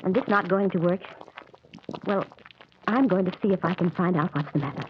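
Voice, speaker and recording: An anxious, pleading middle-aged wife and mother, played by a woman in an old radio horror drama. She speaks in a middle-pitched voice. The sound is muffled and dull with a light hiss. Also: fairly quick, lightly acted. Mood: worried. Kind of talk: 1940s radio drama acting.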